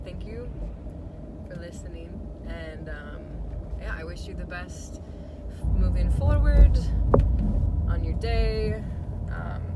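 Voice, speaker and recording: A vlogger talking in a slow, rambling, reflective way with many pauses and ums, a bit stuffed up and congested. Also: mid-range voice. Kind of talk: reflective personal vlog. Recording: loud traffic noise behind. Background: loud vehicle noise.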